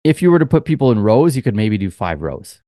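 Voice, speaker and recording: A man's voice: medium pitch, big pitch swings, fast, mellow, dry recording, studio quality. A man co-hosting a podcast, telling a long, rambling story in casual chat with lots of fillers. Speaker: podcast co-host.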